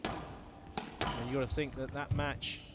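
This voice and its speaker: A man's voice: mid-range voice, flat delivery, slow. A man commentating on a squash match in an easygoing way, chatting with his co-commentator. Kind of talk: sports commentary. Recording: loud arena crowd and noise behind.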